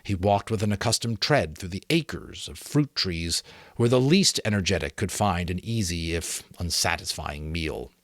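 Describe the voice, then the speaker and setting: A middle-aged man giving a dramatic, expressive audiobook-style reading of a novel in a measured storytelling manner. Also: low-pitched, no echo, clean studio sound. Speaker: amateur audiobook reader.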